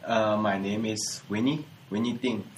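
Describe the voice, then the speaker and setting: A man's voice: fairly low pitch, steady tone, measured pace, a little hiss or hum. Young male student. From China. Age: young adult. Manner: non-native grammar, laughs easily. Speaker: university student. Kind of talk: casual discussion.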